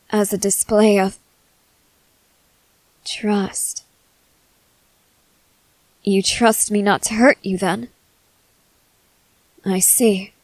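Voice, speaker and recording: A woman playing a stern, suspicious young female soldier in a dramatic ASMR roleplay, speaking in terse, deliberate phrases with pauses. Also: medium pitch, dry recording, natural voice, poor audio. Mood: softening, guarded. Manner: slow, deliberate, terse, intense delivery with pauses.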